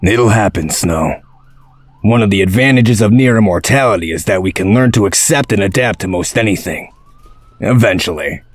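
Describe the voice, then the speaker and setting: An actor playing a gruff, blunt, sardonic and protective man in a comic dub. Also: fairly low pitch, moderate pace, no echo, lightly acted. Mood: wry, steady.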